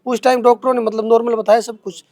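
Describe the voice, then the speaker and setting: A young man with a Haryanvi accent speaks in a strikingly high-pitched voice. He is giving an interview in his own defence, colloquial and emphatic, often repeating himself. The sound is close and dry, with very little room in it. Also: little pitch movement, quick pace, a little mellow, no room sound. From Haryana, India. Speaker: interviewee answering accusations against him.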